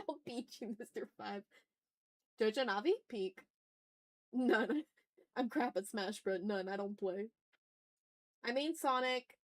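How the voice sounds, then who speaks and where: A woman chatting casually as she hosts an art livestream, talking to her viewers in an animated, slangy, teasing way with some swearing. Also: on the high side, slow, long pauses. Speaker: livestream host and artist. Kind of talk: casual art livestream chat, reading and answering chat messages.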